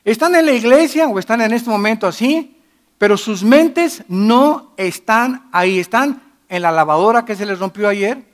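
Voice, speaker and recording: A middle-aged pastor preaching with emphatic force, his voice sitting unusually high for a man. He moves between loud declarations and hushed asides as he addresses a church congregation. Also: lively intonation, moderate pace. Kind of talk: sermon.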